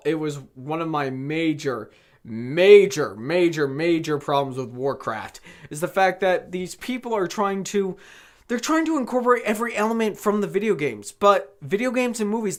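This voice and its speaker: A man hosting an opinion commentary for viewers, speaking emphatically and repeating words for stress. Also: on the high side. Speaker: host of a film commentary channel.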